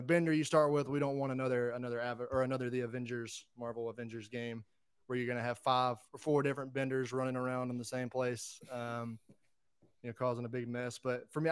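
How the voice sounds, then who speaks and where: A man's voice: medium pitch, smooth, no echo. A man giving his opinions casually as a podcast panelist.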